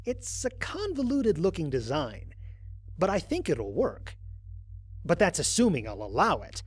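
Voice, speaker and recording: A man playing a wry, husky space explorer. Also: on the high side, wildly swooping pitch, measured pace, dry recording, full character voice. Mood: curious, wry. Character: stranded male space explorer keeping a mission log, wry, analytical. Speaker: narrator. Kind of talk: first-person mission-log narration in an audio drama.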